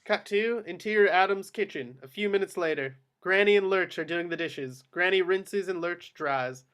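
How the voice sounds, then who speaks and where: A man's voice: high voice, moderate pace, a bit bright, clean tone. A man narrating action descriptions from a script at a table read. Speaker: stage-direction narrator.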